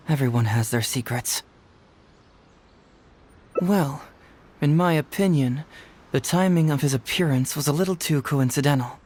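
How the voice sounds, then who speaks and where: An actor playing a young male detective in a video game, quick-witted, easygoing and teasing. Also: a little high, a little mellow, a little hiss or hum, natural voice. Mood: sly, reasoning. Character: young male detective, sharp and playfully confident. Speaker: detective character.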